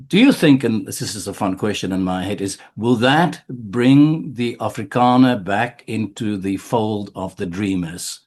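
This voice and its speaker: A man hosting a podcast interview, asking long questions and often pausing to search for words. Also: on the low side, darkish tone. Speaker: podcast host.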